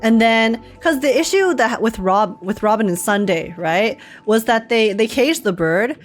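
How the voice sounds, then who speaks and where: A woman streamer giving casual, slangy live reaction commentary on a game, thinking aloud in an animated way. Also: fairly high pitch, unhurried, faint music behind.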